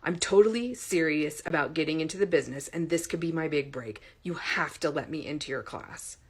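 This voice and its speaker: A woman's voice: low-pitched, fairly quick, husky. Woman playing a pushy, ditzy young college girl. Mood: pleading. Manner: ditzy, filler-laden speech. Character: young female college student, pushy, entitled, pleading.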